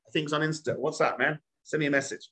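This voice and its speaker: Animated rabbi teaching over a video call. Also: male voice, on the high side, fast talker. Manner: animated, emphatic, gesturing a lot. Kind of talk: online Torah class. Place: home study with bookshelves, indoors. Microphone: webcam on a video call.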